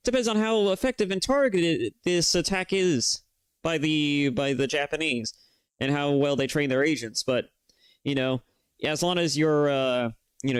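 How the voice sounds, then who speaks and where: A man from the United States rants and reflects in loose, casual commentary. His words come out full of swearing, "you know"s and restarts. His voice is clear and resonant, recorded close and dry with no room sound. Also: fairly high pitch, lively intonation, moderate pace, dry recording. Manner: opinionated and profane, with many fillers and restarts. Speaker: reaction video host.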